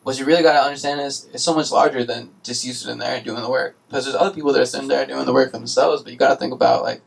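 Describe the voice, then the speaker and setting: A man's voice: mid-range voice, fairly even intonation, very fast, vocal fry, low-quality recording. A young man hosting a college radio talk show, rambling in casual street slang with lots of fillers. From United States. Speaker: radio host.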